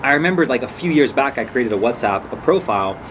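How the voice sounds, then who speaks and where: Male vlog host, mid pitch, rambling storyteller, noisy background recording. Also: expressive, quick pace. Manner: rambling, chatty, restarts sentences. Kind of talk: casual storytelling to viewers. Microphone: camera on a phone or camera nearby.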